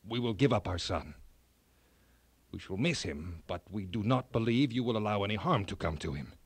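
A man's voice: on the low side, animated, normal speed, husky, no room sound, pitched below the performer's own voice. Man playing a grave, noble storybook king. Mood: solemn, resolute. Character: earnest, noble king, devoted to his people. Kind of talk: children's fairy tale read aloud.

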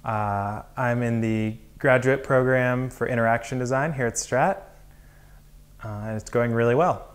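A young man from California speaks in an American accent at a medium pitch. He gives an informal student testimonial, recorded on a clip-on mic in a room with books. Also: unhurried. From California, United States. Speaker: graduate design student. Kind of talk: casual testimonial to camera. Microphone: lapel.

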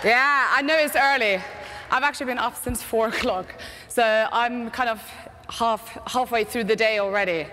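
A woman's voice: on the high side, moderate pace, bright, forceful tone, a little hiss or hum. Norwegian woman talking on a big stage. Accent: Norwegian with British English mix. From Norway. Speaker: conference speaker, agency CEO. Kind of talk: keynote presentation. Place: large conference stage. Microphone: headset. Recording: long reverb of a large hall.

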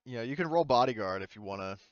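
A man's voice: medium pitch, animated, racing, dry recording, dull audio. A man running a tabletop game as game master, casually thinking aloud and sometimes swearing.

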